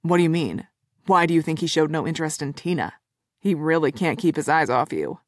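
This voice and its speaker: A woman voicing a cheerful, easygoing young man playing matchmaker, in a slightly lower, relaxed tone. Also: brisk, no echo, studio quality. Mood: eager.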